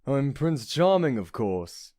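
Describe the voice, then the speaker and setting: Charming rogue prince for a comic dub, played by a man: courtly, mellow, mid-pitched, self-assured, close dry sound. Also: big pitch swings, slow pace, no room sound. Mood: suave. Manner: smooth-talking, genteel, self-assured. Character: suave, flirtatious, penniless prince with courtly charm.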